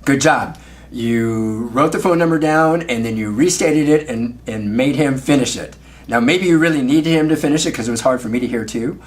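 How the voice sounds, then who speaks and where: A man's voice: mid-range voice, normal speed. Instructive male sales coach giving feedback. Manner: instructive, gives praise, often ends with check questions. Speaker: automotive sales trainer and coach. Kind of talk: coaching commentary on a phone call.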